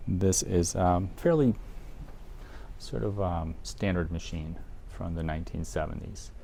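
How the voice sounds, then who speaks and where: A man's voice: sits low, unhurried, vocal fry, mellow. A man presenting a casual product guide in an audio shop, talking informally and with enthusiasm for the gear. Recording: noticeable background noise, room ambience.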